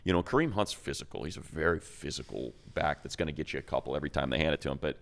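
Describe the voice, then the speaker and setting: A man's voice: rumbling low, rapid-fire, gravelly. A man co-hosting a sports talk show, giving casual analysis in a blunt, colloquial, conversational way.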